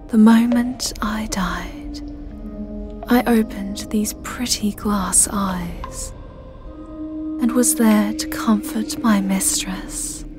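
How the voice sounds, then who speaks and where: A woman acts out a centuries-old porcelain doll maid, obsessive and sinister. The voice is a breathy, menacing whisper that lingers very slowly over each phrase, theatrical in an ASMR roleplay style. Music plays clearly behind it. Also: medium pitch. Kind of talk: dramatic ASMR roleplay monologue.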